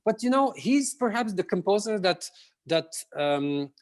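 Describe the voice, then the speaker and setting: Man from Morocco, hesitant, lots of ums, slightly high pitch. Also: sing-song, unhurried. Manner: hesitant, searching for words with many fillers. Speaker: guest pianist. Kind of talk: casual interview chat.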